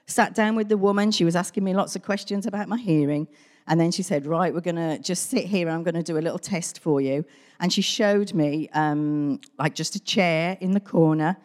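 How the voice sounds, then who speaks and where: A middle-aged woman with a hoarse, gruff voice, candidly telling her personal story to a church. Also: lowish voice, darkish tone. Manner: candid, conversational, self-deprecating. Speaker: church member giving testimony. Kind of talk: personal testimony. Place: large church hall. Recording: church hall with long reverb.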